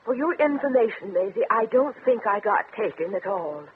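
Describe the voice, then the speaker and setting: An actress playing a naive, lovestruck, trusting spinster in 1950s radio comedy, fluttery, hesitant and earnest. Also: female voice, mid-range voice, lively intonation, moderate pace, close to an everyday voice. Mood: stubborn, wounded. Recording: tinny, hissy early-1950s radio broadcast.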